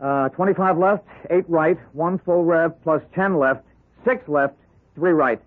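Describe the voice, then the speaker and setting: An actor playing a loyal, eager, flustered aide in an old-time radio drama, halting, slangy and earnest. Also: male voice, fairly high pitch. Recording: tinny, noisy old radio recording.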